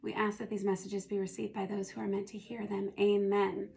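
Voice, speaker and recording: A hoarse woman who is losing her voice, doing a casual tarot reading and thinking aloud as she turns the cards. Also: mid-range voice, little pitch movement, fairly quick, mellow. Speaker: tarot reader.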